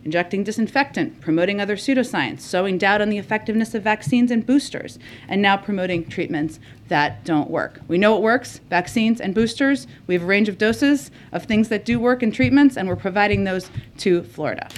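A woman answering a reporter at a press briefing, measured and explanatory. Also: mid-range voice, a little hiss or hum.

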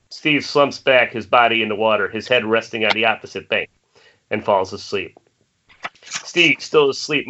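A man narrates screenplay directions in a bright, forceful voice of medium pitch. The sound is a dull, lo-fi online call with faint noise. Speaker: narrator reading stage directions. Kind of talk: scripted narration at amateur table read.

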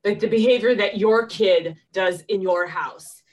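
A woman's voice: medium pitch, a little slow, muffled recording. Woman host, slightly distant from the mic. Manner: conversational, sets up questions. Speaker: interview host. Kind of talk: casual interview chat.

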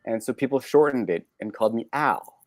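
A man's voice: mid-range voice, expressive, fairly quick, no room sound. Canadian man, close dry sound. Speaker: podcast guest. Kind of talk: candid personal storytelling in conversation.

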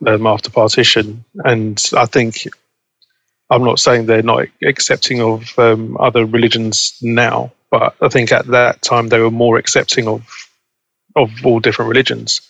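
A young man as an interview guest, chatting casually and relaxed, laughing easily. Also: mid-range voice, normal speed, creaky, no echo, poor audio. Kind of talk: casual conversation.

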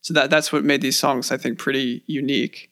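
Co-host, man, dry close mic, creaky and deadpan. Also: medium pitch, a little fast, no room sound. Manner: wry, joking. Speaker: podcast co-host. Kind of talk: casual chat.

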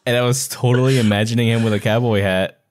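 A man's voice: medium pitch, steady tone, fast, darkish tone. A man co-hosting a podcast in casual chat, with a joking, teasing manner. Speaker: podcast co-host.

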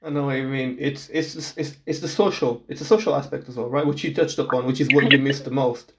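A man's voice: mid-range voice, fairly even intonation, a little fast, fairly bright tone. A young man from Portugal talks in an easygoing, chatty way. He laughs often and repeats his first words before he gets going. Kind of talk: casual chat.

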